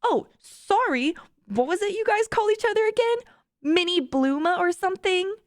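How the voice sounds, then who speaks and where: A woman's voice: very high-pitched, animated, normal speed, no room sound, studio quality, pitched above the performer's own voice. A woman voicing a teasing, blunt young woman in a story, with a sing-song mocking manner.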